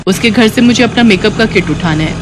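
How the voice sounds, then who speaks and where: A woman's voice: mid-range voice, brisk, noisy background, close to an everyday voice. A woman voicing a kind young bride-to-be in a storybook tale, polite and earnest. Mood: caring.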